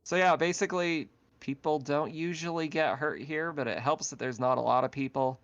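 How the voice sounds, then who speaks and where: A man's voice: a little high, moderate pace, a bit bright, no room sound. A man casually narrating an online tabletop roleplaying game as game master. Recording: dull lo-fi online voice chat.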